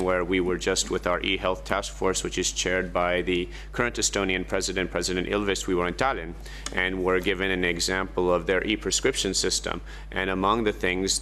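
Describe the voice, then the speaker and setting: A very low-pitched man speaks as a Commission official at a seminar. He hesitates often and keeps reaching for the right phrase. A faint electrical hum sits under his voice. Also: bright, forceful tone. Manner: hesitant, repeats "how to say". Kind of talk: seminar panel answer. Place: conference room.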